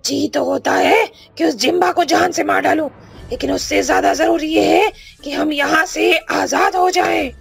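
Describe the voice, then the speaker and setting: A woman voicing an anguished captive witch in a cartoon, pleading in a drawn-out, melodramatic way. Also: high-pitched, animated, creaky, faint music behind, exaggerated voice. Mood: bitter, desperate. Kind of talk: Hindi cartoon melodrama acting.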